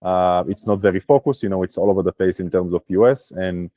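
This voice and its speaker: A man from Israel, a startup founder, talking casually on a video call with many pauses and fillers. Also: low-pitched, dark tone, dry recording.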